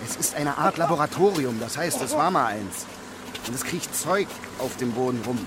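An actor playing a brisk, commanding male police commissioner in a radio drama, curt and authoritative. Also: medium pitch, lively intonation, heavy background noise, close to an everyday voice. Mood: grim, shaken. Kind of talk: German radio drama acting.

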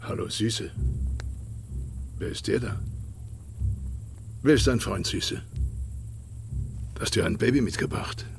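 A menacing backwoods villain in a radio drama. His voice is low and extremely creaky, taunting and condescending, with music behind it. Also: takes it slowly, pauses often, full character voice. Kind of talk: German radio drama acting.

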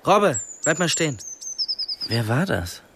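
An actor playing a pushy, insolent teenage brother in a radio drama, belligerent and sarcastic. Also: male voice, fairly high pitch, sing-song, a little slow, gravelly, long reverb tail, background noise, full character voice. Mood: brash, demanding.

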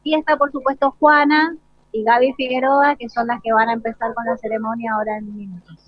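Higher-voiced Argentine woman, dull lo-fi sound. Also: little pitch movement, a little fast, no echo. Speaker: school director giving an interview. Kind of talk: unscripted live interview, listing guests. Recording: dull lo-fi live broadcast sound.

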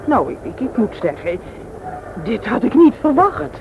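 Prim, careful man, lively swooping delivery, noisy old radio drama recording. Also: sits high, normal speed, narrow, tinny audio. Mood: reluctant. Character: thrifty, proper companion on an awkward first meeting. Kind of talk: radio play acting.

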